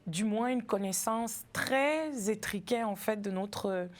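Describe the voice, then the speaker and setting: A woman from Cameroon, a festival founder in her forties, talking in a friendly, thoughtful way in a TV interview, laughing easily and pausing with fillers. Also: mid-range voice. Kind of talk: TV interview conversation.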